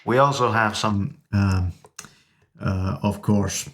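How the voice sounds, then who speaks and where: A man gives an informal gear demonstration in a lowish voice. His delivery is slow and halting, arriving in short pieces as he presents each feature. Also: fairly even intonation. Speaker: presenter reviewing an audio interface.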